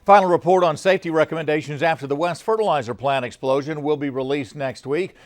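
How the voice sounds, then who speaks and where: A male anchor delivers a scripted television newscast from a studio. His voice sits a little higher than most men's. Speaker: news anchor. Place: TV news studio.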